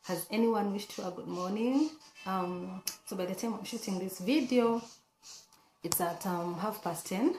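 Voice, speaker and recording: Female vlogger, hoarse, earnest and chatty, mid pitch, echoey room sound. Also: a little slow, darkish tone. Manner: chatty, earnest, many fillers and restarts. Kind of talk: casual talk to camera.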